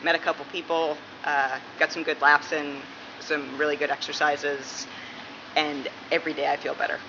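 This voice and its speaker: Woman, gravelly creak, casual interview answers, dull recording with noticeable background noise. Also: low-pitched, little pitch movement, normal speed. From Massachusetts, United States. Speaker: interviewee, police dispatcher. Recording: muffled, clearly noisy room recording.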